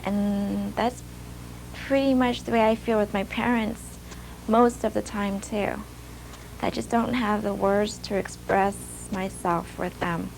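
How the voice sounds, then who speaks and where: A young woman recounting her own story in an older video clip, speaking softly and reflectively with some hesitation. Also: mid-range voice, fairly even intonation, slow pace, gravelly, a little hiss or hum, poor audio. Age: young adult. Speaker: former student telling her story in a video. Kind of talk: personal testimony. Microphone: archival video clip played during a webinar.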